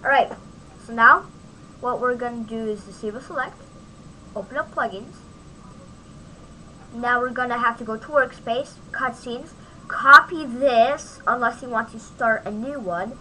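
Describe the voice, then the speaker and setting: A woman giving a casual, off-the-cuff software tutorial, thinking aloud as she demonstrates on a home microphone recording. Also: on the high side, a little slow, long pauses, a little hiss or hum, rough recording. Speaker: tutorial host.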